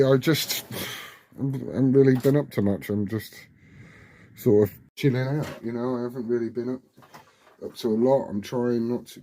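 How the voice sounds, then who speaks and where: A British man with a husky voice rambling informally and swearing as he hosts a casual hobby vlog. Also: mid-range voice, mellow. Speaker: CB radio hobbyist video host. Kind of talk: casual rambling chat to camera.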